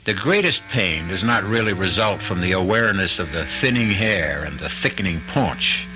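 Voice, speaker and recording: A man hosting and narrating an old-time radio mystery with dramatic, deliberate phrasing. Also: lowish voice, bright, forceful tone. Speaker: host and narrator.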